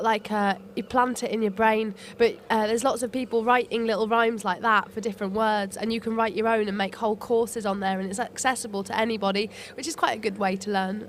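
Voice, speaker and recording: A woman chatting casually outdoors, with lots of fillers. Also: mid-range voice. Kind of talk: casual chat.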